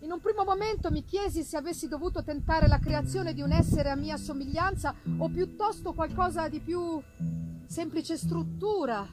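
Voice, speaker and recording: A woman playing an obsessed male scientist in a dramatic gothic novel reading, theatrical and emphatic, with drawn-out words and dramatic pauses. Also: extremely high pitch, music in the background, poor audio. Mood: brooding, intense. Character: obsessed male scientist confessing his dangerous discovery, exalted and haunted. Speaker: stage reader. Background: live musical accompaniment.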